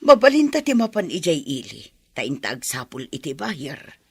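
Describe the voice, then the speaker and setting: A woman's voice: low-pitched, huge pitch swings, moderate pace, breathy edge, dry recording, studio quality, heavily acted. A woman with an Ilocano accent playing an aggrieved villager in a radio drama. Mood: indignant. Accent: Filipino, Ilocano. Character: aggrieved adult villager haggling over produce prices.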